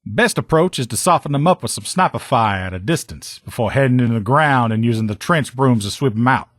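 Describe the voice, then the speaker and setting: A man's voice: mid-range voice, expressive, fairly quick, fairly bright tone, dry recording, clean studio sound. A man voicing a plain-spoken, loyal power armor lieutenant in a video game, talking in a blunt, folksy, colloquial way. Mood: blunt disdain. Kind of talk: video game character dialogue.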